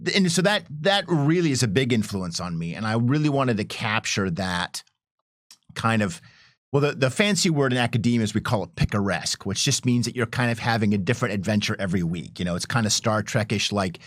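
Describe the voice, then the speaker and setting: A man's voice: on the low side, lively intonation, fairly quick, fairly bright tone, dry recording, studio quality. A middle-aged man, chatting casually as a storyteller who often repeats and restarts his words. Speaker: game developer on a panel. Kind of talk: casual chat.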